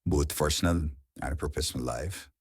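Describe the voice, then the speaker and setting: A man with an Indian accent giving an informal instructional talk in an earnest, halting way, repeating words for emphasis. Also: extremely low pitch, husky, no room sound, clean studio sound. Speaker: public speaking coach.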